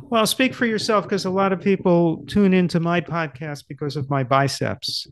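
An older man hosting a casual interview in a jokey, halting conversational way, close on a desk microphone. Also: sits high, a little hiss or hum. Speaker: podcast host, interviewer. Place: indoor book-lined home office, video call.

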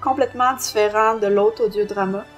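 A woman's voice: medium pitch, fairly even intonation, fairly quick, faint music behind. A woman with a Quebec French accent chatting casually as a podcast co-host. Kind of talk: casual chat.

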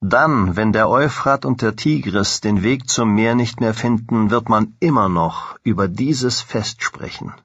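A man reading scripted audiobook narration in with a husky voice. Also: mid-range voice, fairly bright tone, no room sound. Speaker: second narrator reading passages. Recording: muffled, dull lo-fi audio.

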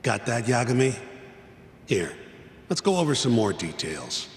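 An actor playing a jaded, exasperated middle-aged lawyer in a video game, blunt and world-weary in manner. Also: male voice, medium pitch, sing-song, measured pace, a little hiss or hum. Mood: matter-of-fact. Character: jaded, exasperated older male defense lawyer. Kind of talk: video game cutscene acting. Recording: echoey hall reverb, music behind.

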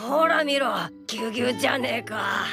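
A woman voicing a cocky, grumpy young male kitten in a children's cartoon, with brash, rough boyish talk. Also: a little high, a little fast, loud music behind the voice. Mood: indignant. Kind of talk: Japanese animated children's cartoon acting.

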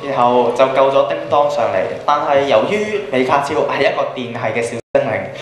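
A man's voice: mid-range voice, expressive, a little fast. A young man with a Hong Kong Cantonese accent, improvising story narration as a student on stage. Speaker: student participant narrating a skit. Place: school auditorium stage. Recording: very echoey hall through PA.

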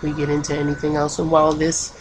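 A woman doing casual game commentary, keeping her voice hushed at night with bursts of excitement. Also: deep voice, quick pace, background noise. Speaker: let's play gamer. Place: home at night.

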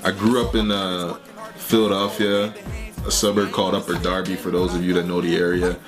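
A man's voice: low voice, level tone, fairly quick. A young man talking casually about his music label, with lots of "you know" fillers. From Liberia. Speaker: rapper and label owner. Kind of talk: casual sit-down interview. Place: small home studio indoors.

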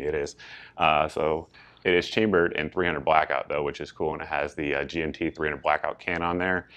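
A man, casually talking viewers through a factory tour and then showing gear in an office, with lots of fillers and self-corrections. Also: very deep voice. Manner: relaxed, chatty, many fillers and self-corrections. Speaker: gun channel host. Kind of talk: casual walkthrough vlog. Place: loud factory floor, then a small office room.

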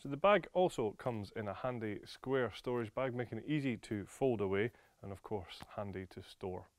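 A man's voice: lowish voice, animated, moderate pace, no echo. A man presenting a product demonstration in a studio, explaining features as he handles it. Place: indoor studio set with camping display.

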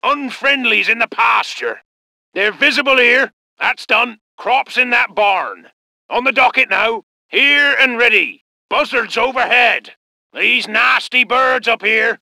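A man's voice: very high-pitched, takes it slowly, vocal fry, sharp tone, no echo, full character voice. An actor voicing a folksy, loyal British tank crewman in a war game, with rustic, clipped military phrases. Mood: alarmed, hurt, then reporting. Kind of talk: short scripted game unit responses.

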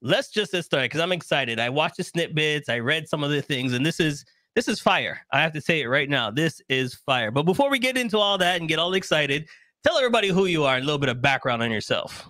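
A man's voice: a little high, expressive, fast, sharp tone, no echo. A middle-aged man hosting a show from a home studio, hyped and enthusiastic, repeating his phrases. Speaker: host. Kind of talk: upbeat show host intro. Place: home studio with purple lighting. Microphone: boom-arm podcast mic.

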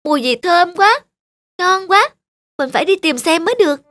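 A woman voicing an earnest poor young farm boy in an animated fairy tale, plaintive and full of exclamations. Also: very high voice, moderate pace, full character voice. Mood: curious, delighted. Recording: music under the voice.

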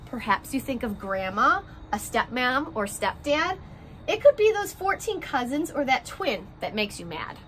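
A woman's voice: high voice, very animated, unhurried, fairly bright tone, a little hiss or hum. A woman in her twenties, a first grade teacher, talking and reading aloud expressively to young children, recorded outdoors on a porch. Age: 20s. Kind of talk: children's read-aloud and direct address to young pupils. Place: outdoors on a porch by glass doors.

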